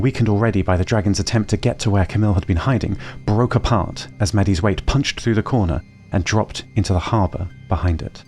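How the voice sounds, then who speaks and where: Man, scripted audio-drama narration, fairly low voice, calm and measured, faint music behind.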